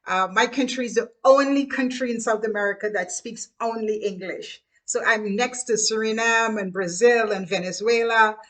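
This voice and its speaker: Guyanese woman, middle-aged, casual storyteller, bright and high-pitched, chatty with repeated phrases. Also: steady tone, normal speed, hardly any pauses. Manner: chatty, laughs while reminiscing, repeats phrases. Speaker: host.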